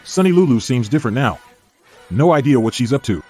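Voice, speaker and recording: A man's voice: mid-range voice, lively intonation, brisk, darkish tone, faint music behind, lightly acted. A man playing a wary, distrustful husband thinking to himself in a dubbed melodrama. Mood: suspicious.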